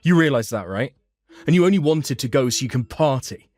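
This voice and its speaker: A man's voice: medium pitch, expressive, brisk, darkish tone, pitched above the performer's own voice, natural voice. A man playing a hardworking, put-upon eldest brother in a manga story, blunt and indignant. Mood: fed up, indignant.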